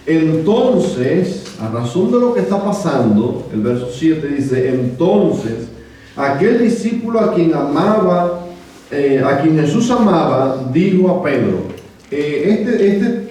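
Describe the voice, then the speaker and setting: Cuban preacher in an echoey church. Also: male voice, on the high side, dark tone, a little hiss or hum. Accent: Cuban Spanish. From Cuba. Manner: measured, with frequent hesitant "eh" fillers. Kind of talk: sermon with scripture reading. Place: church sanctuary. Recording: echoey church room from far off.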